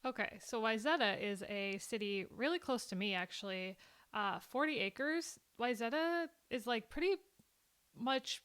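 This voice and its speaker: Upbeat Minnesotan vlogger in her late 20s, smooth and clear voice, a bit higher than most. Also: expressive, a little slow, a bit bright. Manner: chatty, upbeat, explanatory. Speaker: vlogger reacting to a film. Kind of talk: casual commentary.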